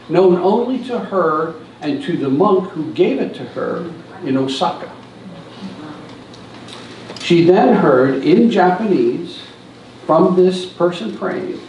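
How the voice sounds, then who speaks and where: A man's voice: sits high, a little mellow, a little hiss or hum. An older man giving a church seminar talk, telling stories from a lectern in a large hall. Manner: storytelling, stands at a lectern and gestures. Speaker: seminar speaker. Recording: echoey hall from a distance.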